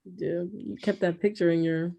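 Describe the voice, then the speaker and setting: A woman's voice: lowish voice, fairly even intonation, normal speed, gravelly, dull audio, background noise. A woman in her twenties chatting casually with friends on a livestream, laughing and swearing freely. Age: 20s. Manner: loud, laughing, swearing banter. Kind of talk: casual chat among friends.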